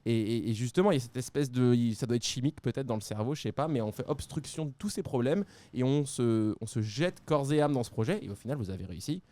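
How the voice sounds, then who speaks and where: A man hosting a casual podcast interview, chatty and quick, slipping English words into his French. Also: mid-range voice, lively intonation, mellow. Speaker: podcast host.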